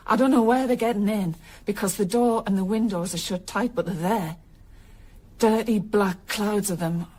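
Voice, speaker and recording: A woman's voice: a little high, a little mellow. An actress playing a bereaved wife and mother, desperate and outraged, in a naturalistic radio drama.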